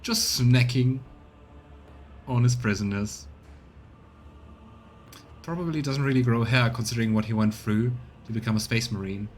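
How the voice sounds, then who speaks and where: A man's voice: mid-range voice, moderate pace, long pauses, slight background noise. A man streaming a game, reading its text aloud as narration and adding casual comments of his own. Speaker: streamer, let's player.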